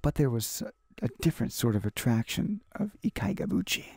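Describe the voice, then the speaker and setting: A man with a mellow, creaky voice reads a visual novel aloud as a gaming host. His delivery is halting, with stumbles and repeated phrases. The sound is close and dry. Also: medium pitch, sing-song, no room sound. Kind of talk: reading a visual novel aloud for a let's play.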